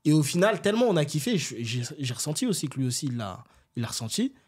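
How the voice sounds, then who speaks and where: A man's voice: on the high side, big pitch swings, quick pace, darkish tone, husky. Casual, slangy man telling stories. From France. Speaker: interview guest. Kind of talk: casual conversational storytelling.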